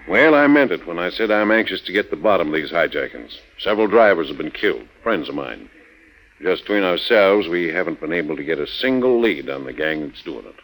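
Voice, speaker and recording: A genial, older small-town American sheriff from a 1940s radio drama. His voice is very deep, and he speaks in a plain, folksy way. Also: big pitch swings, brisk, a bit bright, heavily acted, poor audio. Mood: concerned, earnest.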